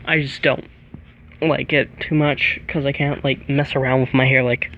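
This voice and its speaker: A teenage boy rambling through an early-morning vlog in a monotone, halting voice with many fillers, into a microphone plugged into a tablet. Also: mid-range voice, muffled recording, slight background noise. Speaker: vlogger. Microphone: plug-in microphone on a tablet.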